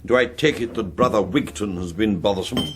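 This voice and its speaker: An actor playing an urbane, arch intelligence agent with an upper-class English accent and a droll, mannered delivery in a radio drama. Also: male voice, lowish voice, brisk, noisy background, close to an everyday voice. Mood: arch, amused. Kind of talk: British radio drama acting.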